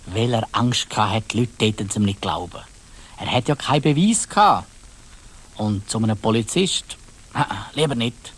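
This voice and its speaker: Husky Swiss German male storyteller. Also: on the low side, lively intonation, normal speed. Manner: addresses young listeners directly with questions. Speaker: narrator. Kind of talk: dramatised children's storytelling.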